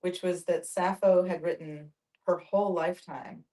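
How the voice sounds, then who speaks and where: A woman with a slightly low voice speaks conversationally to a group, as if telling a short story. She is picked up from a little distance. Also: steady tone, moderate pace, warm tone.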